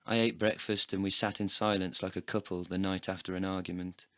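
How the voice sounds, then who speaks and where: An actor playing a bitter, heartbroken, foul-mouthed man, narrating a scripted comedy audio play in a sardonic, deadpan way. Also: low-pitched, a little fast, dry recording. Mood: bitter, wry.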